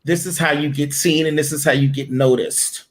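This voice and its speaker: A man's voice: fairly high pitch, steady tone, normal speed. A man, a voiceover director and coach, giving blunt tough-love advice as an interview guest.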